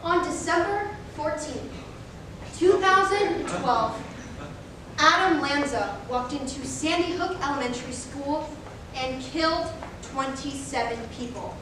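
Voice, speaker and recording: A woman's voice: animated, unhurried. A woman performing dramatic scripted narration in reader's theater. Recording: distant, very echoey room, noisy rumble.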